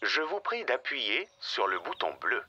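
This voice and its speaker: A man's voice: medium pitch, big pitch swings, unhurried. A man voicing a polite, matter-of-fact talking satnav in a cartoon, through a small-speaker filter. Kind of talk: cartoon device announcements. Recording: dull sound, heavy noise.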